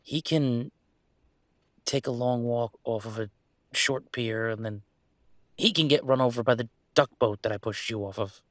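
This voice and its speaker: A man's voice: mid-range voice, moderate pace, long pauses, a bit bright, no room sound, clean studio sound, close to an everyday voice. A man playing a restless young werewolf pack heir in an intimate audio roleplay, rambling conversationally with wry, wistful humor. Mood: wry, playful.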